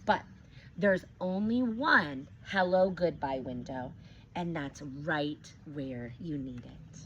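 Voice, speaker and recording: A woman teacher reading a picture book aloud to young children, expressive, stopping to ask them questions. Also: low voice, highs cut off, background noise.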